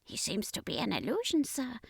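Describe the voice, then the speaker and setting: Woman acting an alien crew member, high hushed voice, deferential, dutiful, storybook sci-fi. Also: wildly swooping pitch, fairly quick, vocal fry, slightly rough, no echo, studio quality, full character voice. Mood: uneasy, dutiful. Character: deferential octopus alien underling reporting to commander.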